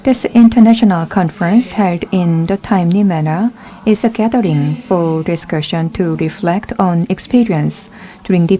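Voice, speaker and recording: A woman's voice: fairly low pitch, dark tone. A woman giving formal scripted congratulatory remarks as an academic, delivered carefully phrase by phrase. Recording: faint background noise.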